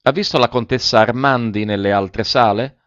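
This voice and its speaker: A man reading the part of a reserved, awkward young gentleman suitor in a story, polite and brief. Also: mid-range voice, moderate pace, clear, resonant tone, no echo, muffled recording, close to an everyday voice.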